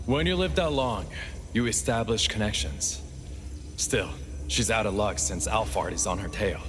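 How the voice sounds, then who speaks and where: A man's voice: on the low side, moderate pace, a bit bright, natural voice. A man playing a level-headed, seasoned investigator in a video game, sober, knowing and matter-of-fact. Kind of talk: scripted game dialogue. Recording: music clearly playing behind.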